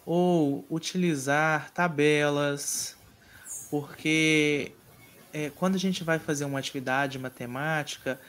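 A man teaching an online mini-course in a measured, explanatory way, often pausing on hesitation fillers. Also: a little high, fairly even intonation, a bit bright. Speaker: course instructor.

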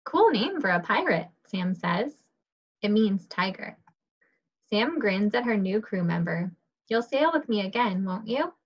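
Woman teacher, medium pitch, muffled audio. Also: sing-song, a little slow, breathy edge. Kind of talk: picture book read aloud for children. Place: indoors, on a group video call. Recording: dull, muffled video call audio.

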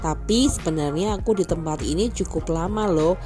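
A woman's voice: lowish voice, normal speed, over music. Upbeat woman narrating a food vlog. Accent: Indonesian. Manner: upbeat, reads prices in lists. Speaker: food vlogger. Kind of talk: scripted voice-over narration.

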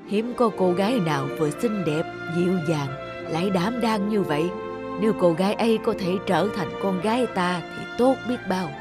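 Woman playing a gentle, lonely old village woman. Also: mid-range voice, moderate pace, loud music behind the voice, natural voice. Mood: wistful. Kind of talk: cartoon folk-tale voice acting.

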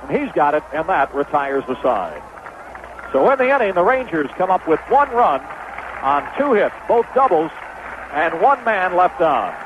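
A man's voice: a little high, big pitch swings, normal speed, crowd noise. An American man calling a baseball game as a play-by-play announcer in 1970s radio style. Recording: dull, noisy old AM radio broadcast.

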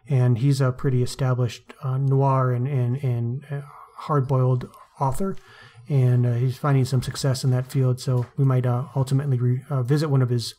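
A man's voice: medium pitch, mellow. A male podcast co-host with a mid-range voice. He talks casually and conversationally, with an enthusiastic way of putting things.

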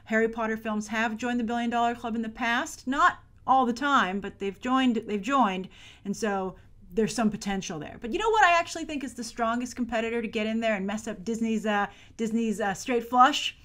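Woman, thirties, American accent, fairly high voice, emphatic, chatty movie news host, talking to camera. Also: normal speed, fairly bright tone. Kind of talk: chatty box office commentary to camera.

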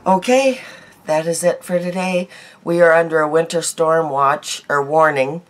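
A middle-aged woman vlogging her daily makeup in a small bedroom, chatty and homey, her voice hoarse from a cold. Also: deep voice, a little slow. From United States. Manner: chatty, homey, laughs easily, trails off. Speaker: beauty vlogger. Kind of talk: casual daily makeup chat to camera.

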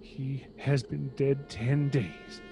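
A man's voice: medium pitch, fairly even intonation, slow, vocal fry, music in the background. A man playing a sorrowful, fearful elderly husband in a radio horror drama, grave and anxious. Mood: appalled.

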